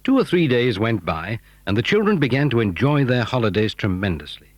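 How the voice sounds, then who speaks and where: Animated British male storyteller. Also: medium pitch, a little fast, no echo. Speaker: narrator. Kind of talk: scripted children's story narration. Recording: story cassette with faint music.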